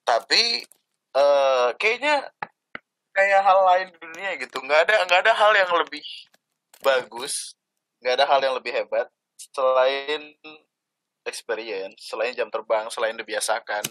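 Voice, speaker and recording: Bright-voiced laid-back young man. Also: medium pitch, animated, measured pace, pauses often, poor audio. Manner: laid-back, jokey, says "santai" a lot. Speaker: podcast host. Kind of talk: casual chat.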